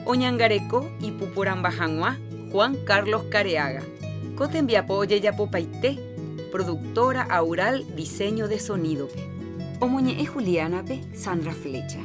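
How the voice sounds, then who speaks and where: A woman with a Paraguayan accent reading radio credits in a steady, formal way. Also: mid-range voice, measured pace. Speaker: credits announcer. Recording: studio voice over guitar music.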